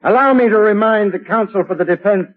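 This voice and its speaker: A prosecutor orating to a jury in a very high, ringing voice, as a man acts him out. His speech is declamatory and formal. The sound is a tinny, narrow old radio broadcast. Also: fast, lightly acted. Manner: declamatory courtroom oratory. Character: forceful male prosecutor in court. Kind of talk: 1930s radio drama acting.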